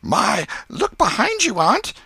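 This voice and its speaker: A mischievous little boy from a storybook, played by a man, pitched well up. He is evasive and quick on his feet, with an animated delivery. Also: vocal fry, husky, no echo, full character voice. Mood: alarmed. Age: child. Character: mischievous small boy dodging his aunt. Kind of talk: audiobook character voice.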